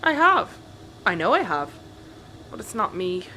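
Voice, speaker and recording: Lively, harried spaceship captain. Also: female voice, mid-range voice, a little slow, a little hiss or hum, low-quality recording. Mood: exasperated. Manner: patient, matter-of-fact, exasperated with crew. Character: sensible, harried woman acting as spaceship captain. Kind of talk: audio sitcom acting.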